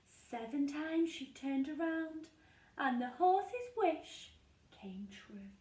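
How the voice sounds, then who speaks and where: A woman reading a rhyming picture book aloud to young children, sing-song and expressive, with dramatic pauses. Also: sits high, a little hiss or hum. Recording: echoey room, distant from mic.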